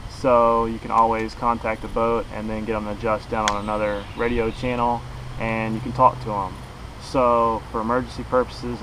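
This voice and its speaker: A man's voice: medium pitch, fairly bright tone, a little hiss or hum, poor audio. A man in his thirties giving a casual, unscripted gear review to camera outdoors, in an informal, folksy way, a little way from the camera. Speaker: kayak fishing vlogger, product reviewer. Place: outdoors in a grassy backyard beside a shed. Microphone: camera a couple of metres away.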